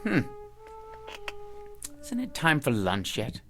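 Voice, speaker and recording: An actor playing a fussy, lonely, self-satisfied office worker who dislikes people, in theatrical audio drama style. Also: male voice, mid-range voice, huge pitch swings, slow, over loud music, full character voice. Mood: bored, fussy. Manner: pompous, fussy, muttering to himself.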